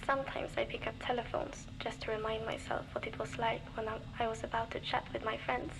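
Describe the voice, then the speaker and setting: A woman's voice: a little high, flat delivery, a little fast, vocal fry. An actress playing a young, lonely, worn-down woman surviving alone in a frozen wasteland, speaking wearily and bluntly in a game's audio diary, with dry humour and frequent swearing. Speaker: game character recording audio diaries. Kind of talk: scripted voice acting as a diary log. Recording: noisy cassette tape recording.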